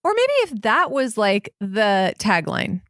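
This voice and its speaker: Female, podcast co-host, casual chat, average pitch, animated intonation, easygoing, giggly, dry recording. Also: slow, no echo.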